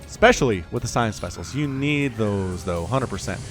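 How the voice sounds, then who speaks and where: A man in his thirties casts a real-time strategy match on his own, his voice leaping up and down as the action builds. He talks in a chatty, excitable way and drifts into jokey asides, with faint music playing under him. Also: fairly low pitch, moderate pace. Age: 30s. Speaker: game caster. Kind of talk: solo esports play-by-play commentary.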